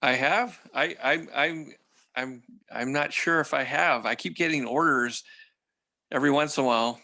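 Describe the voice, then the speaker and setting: A man asking casual questions on a group video call in a halting, hedging way. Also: medium pitch, gravelly, pushed and bright.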